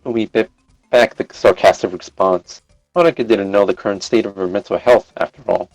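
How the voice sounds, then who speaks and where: Low-pitched man narrating a story live. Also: a little fast, a little mellow, faint music behind, rough recording. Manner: stumbles and rereads phrases. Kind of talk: live read-aloud narration.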